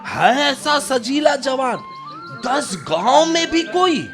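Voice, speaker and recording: A man's voice: extremely high pitch, sing-song, slow pace, music in the background, exaggerated voice. An actor playing a chatty, admiring young village man in a radio drama, talking in a respectful, familiar way. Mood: amused, teasing. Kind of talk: Hindi radio drama acting.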